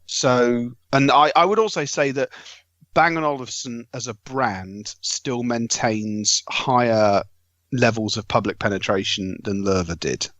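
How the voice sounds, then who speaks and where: British male podcast reviewer, wry and wordy, average pitch, slightly muffled dry recording. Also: unhurried, a bit bright, dry recording. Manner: wordy, wry, formal phrasing. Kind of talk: podcast discussion of home tech.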